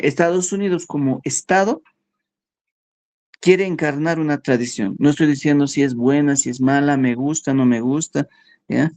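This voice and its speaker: A man giving a philosophy workshop lecture, talking in a halting way and checking in with his listeners all the time. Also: on the high side, a little mellow. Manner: slow, halting, with frequent "¿no?" and "¿ya?" checks.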